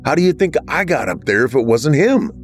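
A man's voice: medium pitch, sing-song, brisk, soft background music, pitched above the performer's own voice, natural voice. A man voicing a defensive, sarcastic young male ghost, in a raised voice. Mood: indignant.

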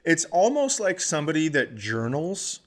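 A middle-aged man hosting a podcast, telling a funny story with lively asides and quick impressions of the people in it. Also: on the high side. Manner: animated anecdote-telling, mimicking others in quotes. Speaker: podcast host and comedian. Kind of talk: comic storytelling chat.

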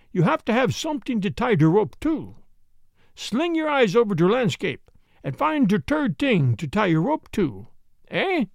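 A man's voice: high-pitched, gravelly, a little mellow, no echo, studio quality, exaggerated voice. A man voicing a stubborn, boastful male prisoner with a heavy German accent and broken English. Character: wild defiant male prisoner, stubborn and blustering.

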